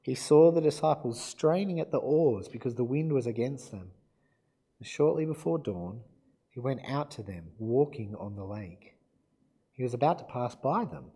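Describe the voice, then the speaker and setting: Male preacher, croaky from a cold; warm low tone, casual delivery with ums. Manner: self-deprecating, relaxed, with fillers. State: croaky from illness. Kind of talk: sermon with Bible reading.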